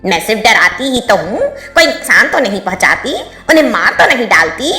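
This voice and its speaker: A woman's voice: mid-range voice, big pitch swings, normal speed, bright, forceful tone, roomy sound, soft background music. A woman voicing a mischievous, gleeful female ghost in a cartoon story, taunting and theatrical. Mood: scornful. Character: mischievous gleeful female ghost who loves scaring travellers. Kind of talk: Hindi cartoon moral story voice acting.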